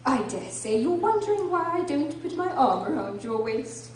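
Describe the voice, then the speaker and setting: A woman's voice: high voice, big pitch swings, moderate pace, darkish tone. A woman playing a chummy, eccentric, moralizing Duchess in a radio drama, gushing and affected with chuckles. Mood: merry. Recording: echoey room, distant mic, clear background noise.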